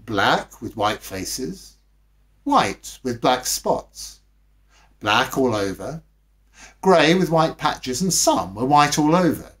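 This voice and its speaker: A man voicing a bachelor calmly spinning a tale in a storybook reading, deliberate and matter-of-fact. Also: mid-range voice. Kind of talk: storybook character voice.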